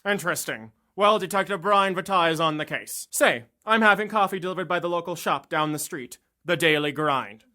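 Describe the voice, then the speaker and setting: A woman voicing a self-assured male detective who talks in a dry, deliberate way. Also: lowish voice, expressive, sharp tone. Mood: measured. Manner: dry, deliberate, ponders aloud. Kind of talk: amateur 1930s-style radio drama parody.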